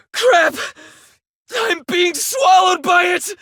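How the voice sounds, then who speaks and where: An actor playing an earnest, remorseful, devoted husband in a dramatic video game, with formal, measured, solemn phrasing. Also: male voice, very high voice, pushed and bright, no echo, clean studio sound, full character voice. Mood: panicked.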